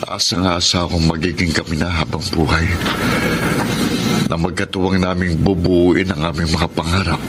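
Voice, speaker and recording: Low male voice, sorrowful self-sacrificing lover, Filipino accent, melodramatic radio drama, very noisy recording. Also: little pitch movement. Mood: heartbroken, sorrowful. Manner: earnest, melodramatic, halting. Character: heartbroken, self-sacrificing young working man in love. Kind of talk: Tagalog radio drama acting. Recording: heavy background noise.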